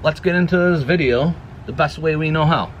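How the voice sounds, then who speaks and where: A man's voice: on the high side, fairly quick, dull audio, a little hiss or hum. A man giving a casual, rambling channel update outdoors, often correcting himself mid-sentence. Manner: rambling, self-correcting, chatty. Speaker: YouTube vlogger. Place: outdoors near a woodpile. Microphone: action camera.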